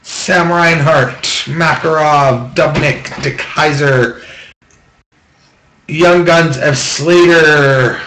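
A man at a table opens packs and reads out each card, dropping dry asides now and then. His voice sits a bit high and he takes his time. The room rings a little, with a faint hiss behind him. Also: steady tone, bright, forceful tone. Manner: quick list-reading with offhand jokes and complaints. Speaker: box break host. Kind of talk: reading out trading cards while opening packs. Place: small room at home, table. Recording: echoey room, faint background noise.

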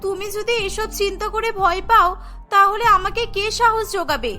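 A woman's voice: very high voice, moderate pace, pushed and bright, soft background music. A woman voicing an anxious, pampered young bride-to-be in a cartoon story. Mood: fretful, concerned.

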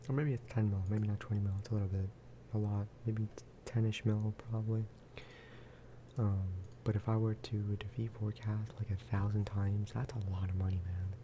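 Hushed, low-voiced man doing soft-spoken ASMR. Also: flat delivery, moderate pace, noisy background. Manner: hushed, slipping into whispers, casual and rambling. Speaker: gaming video host.

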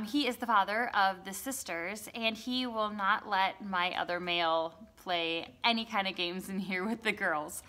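Woman in her thirties, bright and chatty, casual vlog from a barn coop on a handheld phone. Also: mid-range voice. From Wisconsin, United States. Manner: chatty, lots of ums and likes. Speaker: hobby farmer vlogger. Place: inside a wooden barn pigeon coop. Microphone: phone held at arm's length.

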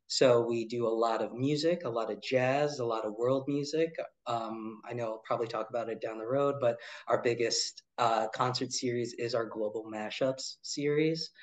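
A man in his thirties, an arts director, answering interview questions in a relaxed way with many ums and uhs. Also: medium pitch. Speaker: arts nonprofit director, guest.

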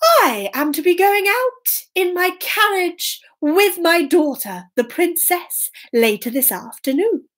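A woman's voice: extremely high pitch, sing-song, takes it slowly, hardly any pauses, fairly bright tone, pitched above the performer's own voice, exaggerated voice. A woman voicing a kindly, grand king with exclaiming delivery in a children's story. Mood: announcing. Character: kindly, easily pleased storybook king, a father. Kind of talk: children's storytelling with acted voices.

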